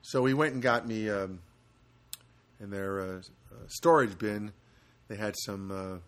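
A man hosting a casual solo podcast from a studio apartment, rambling and halting with many fillers. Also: fairly low pitch. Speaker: podcast host.

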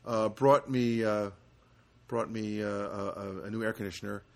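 A man hosting a casual solo podcast from a studio apartment, rambling and halting with many fillers. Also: on the low side, fairly even intonation, a little mellow. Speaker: podcast host.

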